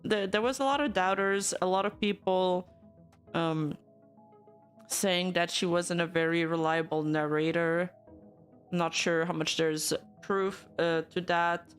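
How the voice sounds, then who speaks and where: A woman's voice: lowish voice, steady tone, unhurried, clear, resonant tone, faint music behind. A young woman from Canada, a VTuber streamer, casually chatting and reacting to news and her live chat, laughing often and teasing back.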